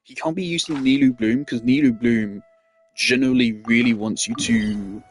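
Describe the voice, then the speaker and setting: A man's voice: mid-range voice, moderate pace, faint music behind. A man giving casual gameplay commentary, thinking aloud and rambling with restarts. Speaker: gameplay streamer.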